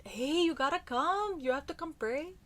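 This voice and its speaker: A woman's voice: high voice, animated, normal speed, background noise, pitched above the performer's own voice. A woman voicing her devout elderly grandmother, nagging insistently for the family to come and pray. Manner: insistent, scolding.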